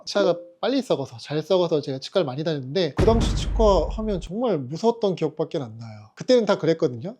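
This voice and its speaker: A man in his forties from Jeju, a local dentist giving relaxed, chatty interview answers with frequent soft fillers. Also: on the high side, noisy background. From Jeju, South Korea.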